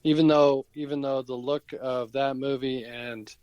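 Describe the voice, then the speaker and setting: A man's voice: mid-range voice, level tone, measured pace, darkish tone, smooth, dry recording. A man co-hosting a podcast, giving casual commentary in an easygoing, agreeable manner. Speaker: podcast co-host.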